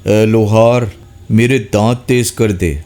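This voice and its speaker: A man's voice: lowish voice, fairly even intonation, darkish tone, lightly acted. A man voicing an arrogant, greedy lion in a children's story, speaking in a commanding way. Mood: haughty. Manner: boastful, commanding. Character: greedy, arrogant male lion, jungle king.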